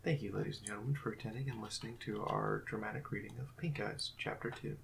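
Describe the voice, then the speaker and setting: Gravelly-voiced woman host, rambling. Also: very deep voice, fast talker, a little hiss or hum. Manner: rambling, hesitant. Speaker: host and director. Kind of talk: casual closing remarks.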